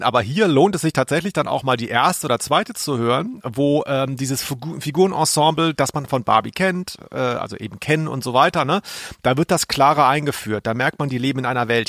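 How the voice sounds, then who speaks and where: A man's voice: mid-range voice, a bit bright, no echo, studio quality. A man co-hosting a podcast, chatting casually in a bemused, emphatic, colloquial way. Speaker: podcast co-host. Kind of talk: casual review chat.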